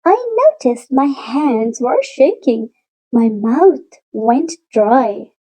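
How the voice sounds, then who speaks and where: High, animated woman reading a picture book. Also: poor audio. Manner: animated, deliberate storytelling with drawn-out emphasis. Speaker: storybook read-aloud narrator.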